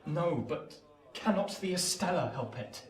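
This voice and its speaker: Radio drama actress for lovesick young gentleman: low, lively pitch, earnest and imploring, roomy echo. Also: measured pace. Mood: jealous pleading. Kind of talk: theatrical radio play acting.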